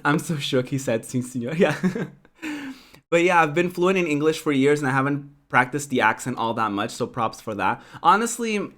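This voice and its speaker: A woman's voice: low voice, animated, moderate pace, fairly bright tone. A young woman from Portugal, Portuguese by birth, who speaks English with an American accent she picked up from online friends. She streams and chats loosely with her viewers, laughing a lot and wandering through her thoughts with plenty of "like" and "um". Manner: giggly, rambling, says "like" and "um" a lot. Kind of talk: casual chat with live viewers.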